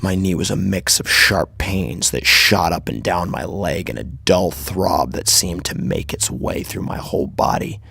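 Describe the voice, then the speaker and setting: A man narrating a first-person horror story dramatically, with a grave, intense delivery and a husky, gravelly voice. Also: low-pitched, unhurried, hardly any pauses, pushed and bright, dry recording, clean studio sound.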